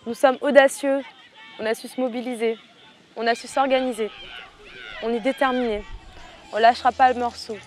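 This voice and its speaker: A woman's voice: on the high side, fairly even intonation, normal speed, long pauses, darkish tone, some chatter behind, a little hiss or hum. A teenage woman from French Guiana, a student activist leader, giving impassioned, rhetorical interview answers outdoors. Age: teens. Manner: impassioned, rhetorical, repeats phrases.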